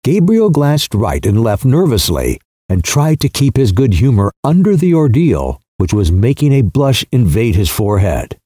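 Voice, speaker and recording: A male audiobook narrator reads a literary story in a measured, storytelling manner. His voice is warm and mellow with lively melodic movement, recorded close and dry. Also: medium pitch, husky, dry recording.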